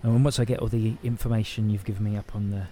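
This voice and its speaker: An actor playing a patient but evasive council housing officer with a British accent and a bureaucratic, hedging manner. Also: male voice, fairly low pitch, flat delivery, quick pace, mellow, a little hiss or hum, natural voice. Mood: businesslike. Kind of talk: audio play dialogue.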